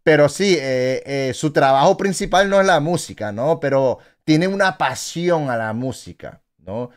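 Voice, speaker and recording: A man, hosting a reaction video in casual, animated talk from a small indoor room on a studio mic, exclaiming, laughing and tagging his phrases with quick questions. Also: a little high. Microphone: studio mic, wearing headphones in gaming chair.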